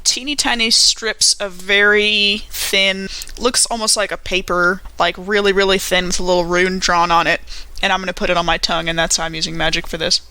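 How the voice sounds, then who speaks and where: A woman's voice: fairly low pitch, little pitch movement, fairly quick, sharp tone, no room sound. A woman playing a tabletop roleplaying game, chatting casually as she explains her character's actions.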